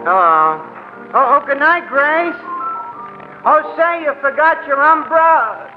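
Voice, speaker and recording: An actor playing an excitable, bashful, good-natured bar worker in 1940s radio style, folksy and flustered. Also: male voice, very high-pitched, slow, full character voice. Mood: flustered, cheerful. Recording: dull lo-fi old radio broadcast, music.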